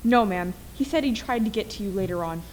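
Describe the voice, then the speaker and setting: A woman's voice: medium pitch, expressive, brisk, darkish tone, close to an everyday voice, poor audio. A woman playing an eager, deferential, chatty housemaid in a radio play, with some noise on the recording.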